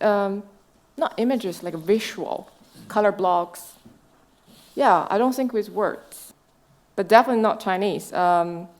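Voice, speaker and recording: A woman's voice: medium pitch, a little mellow. A woman speaking in a casual podcast conversation, thoughtful and searching for the right words. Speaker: designer guest.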